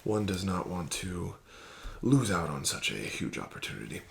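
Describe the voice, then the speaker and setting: A man playing a casino card dealer in an ASMR roleplay, speaking softly with whispered phrases and formal, courteous, gently coaxing patter. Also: low voice, very animated, moderate pace, creaky, slight background noise.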